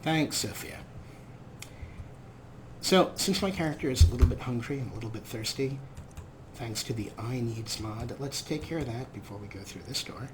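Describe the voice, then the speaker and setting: A man gives chatty, wry gameplay commentary with a showman's flair. His voice sits at a middle pitch, with clearly audible noisy game ambience underneath. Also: expressive, normal speed. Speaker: host of a video game let's play.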